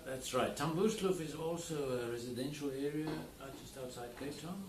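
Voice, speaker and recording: A man from South Africa who speaks Afrikaans as his first language, talking about his wine estate in an unhurried, explanatory way with an accent. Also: fairly high pitch. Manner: unhurried, explanatory, with many fillers. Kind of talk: casual interview. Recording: roomy, distant mic, clear background noise.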